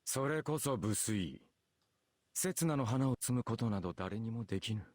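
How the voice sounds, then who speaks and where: A man's voice: on the low side, animated, fairly quick, a little mellow, dry recording. An actor playing an elegant assassin swordsman spirit in a Japanese visual novel, with archaic, poetic, teasing phrasing. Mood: amused, admiring.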